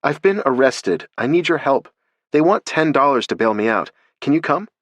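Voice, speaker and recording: A man's voice: mid-range voice, lively intonation, normal speed, a bit bright, dry recording. A man narrating a story voices a frightened, injured young woman who pleads urgently and breathlessly. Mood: frantic.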